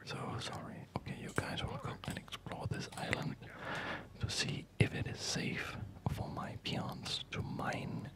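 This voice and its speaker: Slow, whispering ASMR gamer. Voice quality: breathy, mostly unvoiced whisper. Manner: musing aloud to self in short, halting phrases. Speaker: gamer narrating own gameplay. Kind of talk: ASMR whispered video game commentary.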